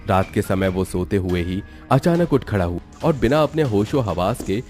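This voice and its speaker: A man narrates a scripted horror cartoon story. His voice is fairly low with lively, swinging intonation and a dramatic storyteller's delivery, with faint music behind it. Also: moderate pace.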